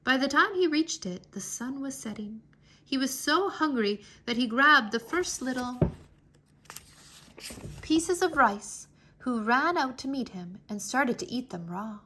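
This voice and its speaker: A woman reading a children's story aloud with expressive, dramatic storytelling. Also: sits high, measured pace, slight background noise. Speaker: children's read-aloud narrator.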